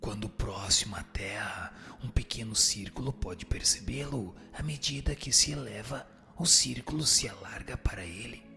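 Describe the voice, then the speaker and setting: A man voicing a solemn spirit who teaches in a measured, declamatory, didactic way in a radio drama. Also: on the low side, creaky, over music. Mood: solemn, exhorting. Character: solemn male spirit answering questions and dictating teachings. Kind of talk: dramatized reading of a spiritist text.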